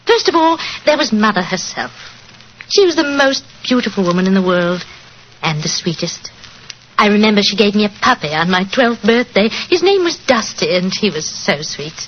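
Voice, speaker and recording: A woman's voice: medium pitch, lively intonation, moderate pace, lightly acted. A woman playing a gracious, modest Englishwoman in a 1940s radio drama, with a British accent and genteel manner. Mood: fond, reminiscing. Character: gracious, modest grown Englishwoman recalling her childhood. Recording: muffled, noisy 1940s radio recording.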